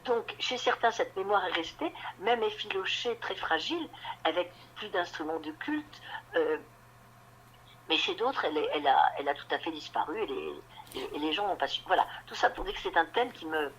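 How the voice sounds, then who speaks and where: A woman novelist being interviewed on radio, talking in a halting way and often repeating words while she looks for them. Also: lowish voice, lively intonation, a little hiss or hum, low-quality recording. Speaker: novelist guest.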